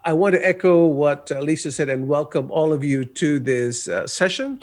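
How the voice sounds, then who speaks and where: A man in his sixties, originally from Malaysia, talks in a fairly high voice for a man. He presents to a webinar audience as a guest coach, unhurried and conversational, dropping in the odd "uh". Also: expressive, darkish tone. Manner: conversational, with occasional "uh". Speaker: guest speaker, cross-cultural coach. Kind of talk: presentation with personal storytelling.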